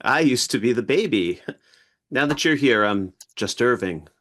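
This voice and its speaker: A man's voice: medium pitch, lively intonation, normal speed, a bit bright. A man playing a childlike, sensitive office worker in a script table read, naive and eager to please. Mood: eager, welcoming.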